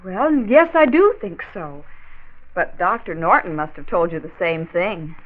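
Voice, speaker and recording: Tinny vintage radio recording, American woman, doctor's inquisitive wife, animated pitch, polite, concerned. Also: unhurried. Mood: neighborly. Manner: polite, questioning, expressive. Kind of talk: 1940s educational radio drama acting. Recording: tinny, narrow-band 1940s radio recording.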